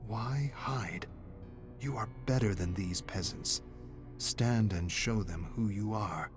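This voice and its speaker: A man's voice: lowish voice, slow pace, music in the background. A man voicing a proud, wrathful dragon that speaks inside a schoolgirl, with a commanding, imperious manner. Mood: proud, goading.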